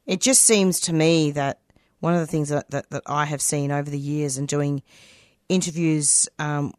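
Low-voiced woman radio interviewer. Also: no echo, studio quality. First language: non-English language.

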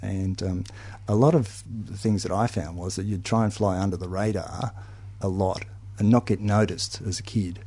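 A man's voice: low voice, steady tone, normal speed, dark tone, slightly rough. A man hosting a conversational discussion, sharing his own thoughts and putting questions to guests. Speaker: host leading a discussion.

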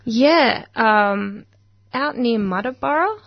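A woman's voice: a little high, animated, slow, no echo, dull audio. Hesitant Australian woman guest. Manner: hesitant, many fillers and restarts. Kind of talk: casual conversation. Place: studio.

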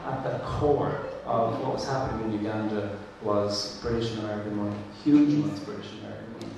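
A man's voice: on the low side, fast, crowd noise. An Irish man whose first language is Irish, speaking earnestly and thoughtfully on a panel, with frequent restarts. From Ireland. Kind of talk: panel discussion. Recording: echoey hall from far off.

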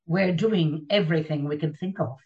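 A measured female official in a radio drama, played by a woman. She explains things with sane, bureaucratic authority. The sound is slightly muffled and set back from the microphone. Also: sits low. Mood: measured, defensive. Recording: distant mic, slightly dull sound.

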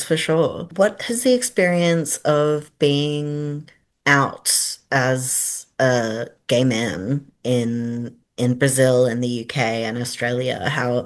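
A woman hosting a community radio interview, asking curious questions and answering with short encouraging affirmations. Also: very deep voice.

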